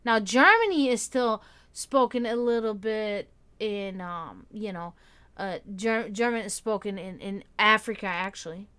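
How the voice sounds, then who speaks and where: A woman's voice: medium pitch, sharp tone, clean tone. A tired woman with a Serbian accent, chatting casually with viewers on a livestream, rambling and restarting her sentences. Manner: rambling, halting, with many restarts and fillers. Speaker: livestream host. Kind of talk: casual chat with stream viewers.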